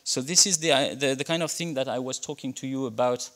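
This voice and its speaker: A man's voice: mid-range voice, quick pace, a bit bright. A middle-aged man from France with a French accent, giving a conference keynote at a podium in a lecture hall, speaking hesitantly with many fillers and restarts. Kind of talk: conference talk with slides. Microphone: podium microphone.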